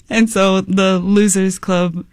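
A woman's voice: mid-range voice, steady tone, takes it slowly, darkish tone, no room sound. A young woman in her twenties, a musician guest, chatting casually with lots of "like" and "um" and frequent laughs. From United States. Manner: giggly, many fillers like "like" and "um". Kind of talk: casual interview chat.

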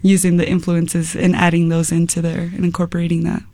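A young American singer speaks in a soft, easygoing way, often pausing on fillers and laughing a little. Her voice sits at a middle pitch. The sound is close and dry, with no room around it. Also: monotone, creaky, no room sound. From United States. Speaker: musician guest. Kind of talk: casual interview.

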